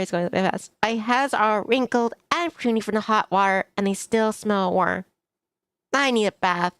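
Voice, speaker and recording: A woman's voice: mid-range voice, a bit bright, smooth, no room sound. A woman streaming a visual novel, reading the text aloud with asides to viewers and stumbling over some words.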